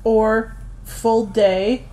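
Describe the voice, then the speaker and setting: A woman's voice: a little high, little pitch movement, extremely slow, mellow, rough recording. A woman co-hosting an unscripted podcast, chatting casually into a phone. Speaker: podcast co-host. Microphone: recorded into a phone app.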